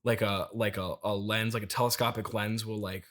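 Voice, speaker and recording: A man's voice: lowish voice, steady tone, moderate pace, fairly bright tone, lightly acted. A man playing an agitated, obsessive turnip farmer in an improvised comedy interview, stammering and indignant. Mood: defensive, evasive.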